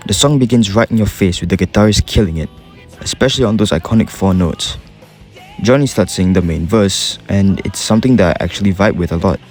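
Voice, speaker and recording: A man's voice: low voice, darkish tone. A young man with a Singaporean accent, giving an enthusiastic, casual commentary voice-over with slang and swearing. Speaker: YouTuber ranking game music. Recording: faint music behind the voice.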